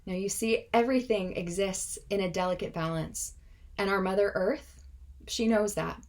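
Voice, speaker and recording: A woman in her twenties, a native Russian speaker, delivering a prepared speech in an earnest, measured, impassioned way. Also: mid-range voice, a little mellow. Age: 20s.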